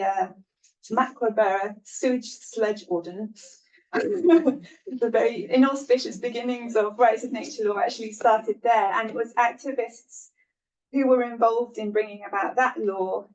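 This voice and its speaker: A woman speaks on a lecture panel, explaining calmly and in a measured way. Her voice sits at a middle pitch. She is picked up from some distance. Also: dull audio. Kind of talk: answering questions at a lecture panel. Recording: distant mic.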